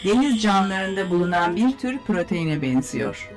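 A woman playing a calm, helpful computer assistant in a dubbed cartoon, measured and matter-of-fact. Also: on the low side, faint music behind. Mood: calm, factual.

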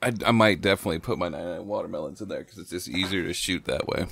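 A man's voice: low voice, racing, vocal fry, fairly bright tone, slight background noise. A man co-hosting a podcast, chatting casually in a laid-back, slangy way with some swearing, close on the microphone. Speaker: podcast co-host.

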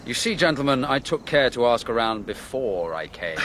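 A man's voice: medium pitch, very animated, moderate pace, fairly bright tone, a little hiss or hum. An actor playing a bold, wry warrior prince who commands his soldiers in a historical audio drama. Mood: confident, wry. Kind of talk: historical radio drama acting.

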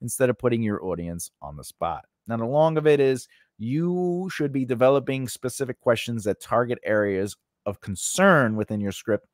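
Animated middle-aged man teaching, dry close sound. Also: medium pitch, moderate pace, no echo. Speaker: host of a screenwriting lesson channel. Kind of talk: conversational instructional talk to camera. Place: small indoor home studio with cloth backdrop. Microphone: close studio condenser mic on a stand.

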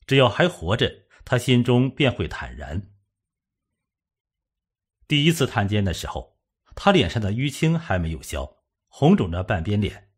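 A man narrating a suspense novel as an audiobook, in a measured, dramatic storytelling manner. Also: on the low side, big pitch swings, husky.

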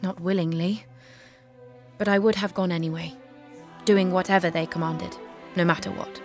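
A woman's voice: on the low side, steady tone, moderate pace, over music, natural voice. A woman playing a brave, devout young maiden commander in an audio drama, speaking formally and earnestly. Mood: solemn, submissive.

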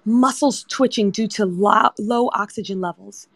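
A woman's voice: mid-range voice, measured pace, no room sound. A woman narrator reading screenplay directions as scripted narration. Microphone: remote audio chat app.

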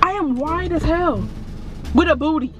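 A woman's voice: high voice, normal speed, a little hiss or hum. A young woman arguing with her husband in a parked car, exasperated and firing off repeated questions, recorded by a dashboard camera. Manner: indignant, exasperated, repetitive questioning. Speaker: co-host of a couple's channel. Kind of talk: casual argument with her husband.

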